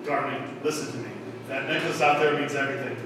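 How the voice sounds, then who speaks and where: A man's voice: mid-range voice, fairly even intonation, fast talker, close to an everyday voice. Guy playing determined crook on the run, pushy, old radio play style, way off mic in echoey hall. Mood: coaxing. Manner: urgent, insistent, persuasive. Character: determined, greedy man on the run, urging his lover. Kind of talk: 1940s radio drama acting. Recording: echoey hall, distant mic, clear background noise.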